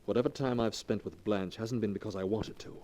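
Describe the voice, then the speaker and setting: An actor in a 1940s radio drama playing a smooth young man who schemes after an inheritance. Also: on the low side, brisk, dark tone, lightly acted. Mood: coaxing. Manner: smooth, coaxing, falsely affectionate.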